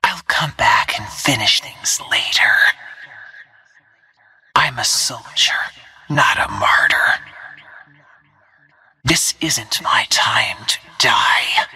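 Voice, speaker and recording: An actress voicing a cold, self-assured assassin soldier in a video game, with a breathy, clipped, deliberate delivery. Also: female voice, rumbling low, lively intonation, bright, forceful tone, no echo, exaggerated voice. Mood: cold, focused. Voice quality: breathy, whispery. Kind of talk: scripted video game voice lines.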